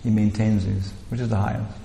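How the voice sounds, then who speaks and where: Gravelly man lecturing in a roomy hall. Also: fairly low pitch, little pitch movement, brisk, mellow, slight background noise. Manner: rambling, many "you know" fillers and restarts. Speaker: teacher leading a scripture class. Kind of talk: informal spiritual lecture. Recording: roomy hall with long echo, faint ambience.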